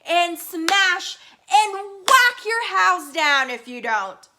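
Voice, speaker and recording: A big bad storybook robot, played by a woman, with a high, bright voice. It is bossy and menacing, drawing out its threats in a loud chant. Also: lively intonation, full character voice. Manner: drawn-out, chanting, menacing calls.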